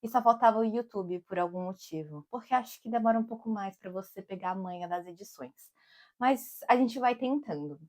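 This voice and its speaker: Young Brazilian woman with a Brasília accent, a cook vlogging outdoors, loose and chatty delivery full of filler. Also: fairly high pitch. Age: young adult. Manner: rambling and digressive, keeps saying "tipo" and "amigos". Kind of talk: casual chatty vlog. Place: outdoors in summer, with bees around.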